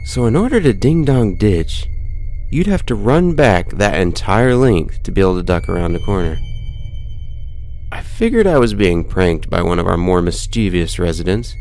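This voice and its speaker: Deep-voiced man reading ghost stories over music. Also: very animated, normal speed. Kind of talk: scripted narration, reading a first-person scary story. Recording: loud background music. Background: music bed.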